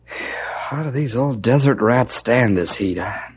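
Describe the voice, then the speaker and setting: An actor playing an earnest, weary young ranger sidekick in an old radio western, with plain-spoken delivery. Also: male voice, mid-range voice, slow, husky. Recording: noisy old radio recording.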